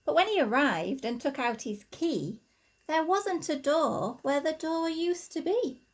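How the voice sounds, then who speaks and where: Slow, animated woman reading a storybook. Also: high voice. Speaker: infant school teacher reading a story. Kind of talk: expressive children's storybook reading.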